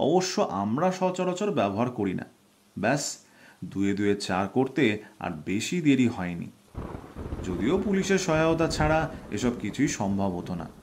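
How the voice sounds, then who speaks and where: A man playing a shrewd, self-assured detective in an audio drama, speaking formally and deliberately with theatrical, probing delivery. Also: mid-range voice, a little hiss or hum, lightly acted. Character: shrewd, self-assured male Bengali detective unmasking a culprit. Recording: loud background music under voice.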